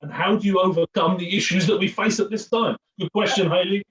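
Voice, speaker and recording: A muffled, echoey recording carries a man with a slightly high voice hosting a fan Q&A. He is chatty and jokey, rambling and repeating words as he puts his questions. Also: fairly quick, a bit bright. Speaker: host of a fan Q&A. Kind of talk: chatty, informal interviewing. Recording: muffled, echoey, distant from mic.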